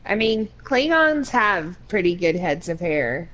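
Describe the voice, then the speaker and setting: A woman's voice: on the low side, very animated, unhurried, lo-fi sound, noisy background. A woman co-hosting a casual group podcast, giggly and loose, filling her talk with "like". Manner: giggly, trails off with "like". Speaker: podcast co-host.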